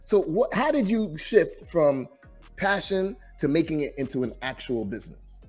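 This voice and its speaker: A man from Jamaica hosts a casual business podcast interview. His voice is husky and his pitch swings widely as he talks. He encourages his guest and restarts sentences often, with faint music in the background. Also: normal speed. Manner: lively, encouraging, frequent restarts. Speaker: podcast host.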